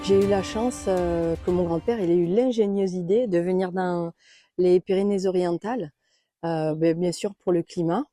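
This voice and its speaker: A woman born in Belgium and raised in southern France tells her life story in a hesitant way, pausing often with fillers and growing soft and quiet. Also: fairly low pitch, steady tone, no room sound, studio quality, soft background music. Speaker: naturopath interviewed about her life. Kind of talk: reflective personal storytelling.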